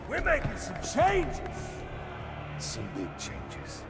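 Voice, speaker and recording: An actor playing a ruthless male crime boss who delivers cold, drawling threats in a gravelly voice. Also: extremely high pitch, wildly swooping pitch, takes it slowly, roomy sound, over loud music, exaggerated voice. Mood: boastful.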